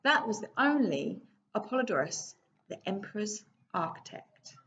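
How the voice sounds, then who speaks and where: A woman teacher reading a book aloud to her pupils in a video lesson. She reads slowly and clearly and stops now and then to ask the class questions. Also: mid-range voice, sing-song, a bit bright, a little hiss or hum.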